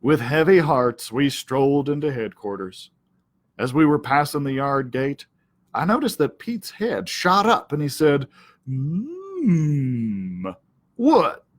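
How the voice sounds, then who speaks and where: Lively, slow-paced man reading a story. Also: mid-range voice. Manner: theatrical, folksy. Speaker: library storyteller. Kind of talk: expressive children's story reading.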